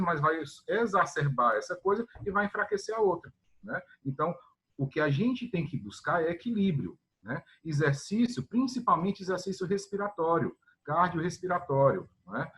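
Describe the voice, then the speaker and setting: Male teacher from northeastern Brazil, higher register, explanatory talk over a video call, keeps checking in with tag questions. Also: bright, forceful tone. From Ceará, Brazil. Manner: ends phrases with tag questions, speaks to students. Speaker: guest professor of Chinese medicine.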